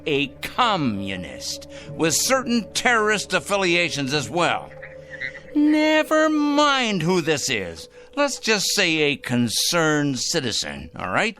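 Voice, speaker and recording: Self-righteous male crank, prim and emphatic, hugely varied intonation, slow deliberate pace, radio drama. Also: a little high, bright, forceful tone, exaggerated voice. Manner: prim, emphatic, formal, halting for effect. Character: fussy, self-righteous fanatical male crank denouncing strangers.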